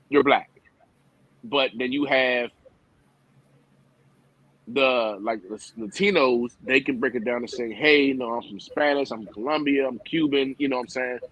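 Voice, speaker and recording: A man's voice: medium pitch, fairly bright tone, husky, dry recording, dull audio. A man talking in an emphatic, slangy way as a co-host of a casual talk show. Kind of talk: casual profane chat.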